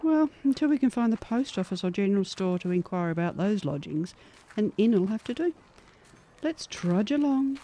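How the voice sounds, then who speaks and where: A woman's voice: mid-range voice, sing-song, moderate pace, warm tone, lightly acted. A woman playing a practical, upbeat superhuman monster adventurer in an audio horror-comedy, with a folksy, coaxing manner. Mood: weary, practical. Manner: folksy, plain-spoken, coaxing.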